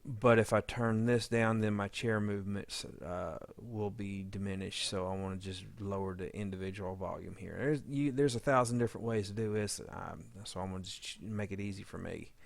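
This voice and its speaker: A man with a fairly low, creaky voice walks through an audio edit in a casual tutorial style. He talks informally, thinking out loud as he works. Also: steady tone, moderate pace, few pauses. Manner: informal, thinking aloud while working. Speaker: audio drama editor demonstrating editing. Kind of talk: casual screen-recorded editing tutorial.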